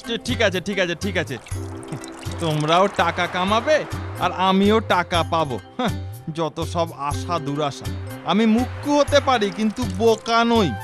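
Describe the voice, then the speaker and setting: High-pitched, money-minded boatman. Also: animated, normal speed, music in the background. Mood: grudging. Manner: brusque, grumbling, repeats himself. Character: gruff, money-minded rustic boatman, grudgingly kind.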